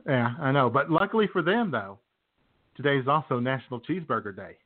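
A man's voice: medium pitch, lively intonation, a little fast. A man hosting a radio show with casual, folksy, rambling comedic banter. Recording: tinny phone-like sound, faint noise.

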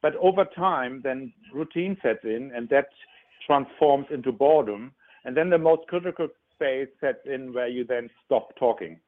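A German-accented man in his sixties talks earnestly as an interview guest, repeating his first words before each point. His voice comes through thin and narrow, like a phone call. His pitch sits in the middle. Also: moderate pace. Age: 60s. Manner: earnest, explanatory, frequent stutter-restarts. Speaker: relationship expert guest. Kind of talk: interview answers.

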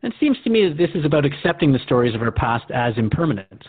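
Brisk, husky male radio host. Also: fairly high pitch, big pitch swings, phone-quality sound. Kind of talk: scripted radio drama dialogue.

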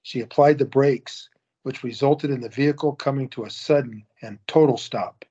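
Male narrator, steady and measured. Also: medium pitch, husky, dull audio. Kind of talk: audio drama narration.

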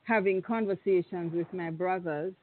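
A woman's voice: fairly low pitch, a little hiss or hum. A woman, a guest on a radio panel, speaking reflectively and restarting her sentences often. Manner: reflective, halting with many restarts. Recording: phone-like narrow sound.

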